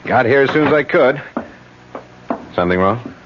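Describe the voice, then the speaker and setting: An actor playing an ambitious political adviser in a 1970s radio drama, speaking in an urgent, persuasive way. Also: male voice, medium pitch, expressive, moderate pace, close to an everyday voice. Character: ambitious male political adviser, pushy and flattering. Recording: dull old radio broadcast with faint noise.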